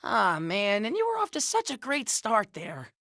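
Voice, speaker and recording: A woman voicing an upbeat, friendly young boy hero in an animated game, with a casual, good-natured, awkwardly diplomatic way of talking. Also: fairly high pitch, moderate pace, dry recording, studio quality, exaggerated voice. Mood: let down. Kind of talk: animated game cutscene acting.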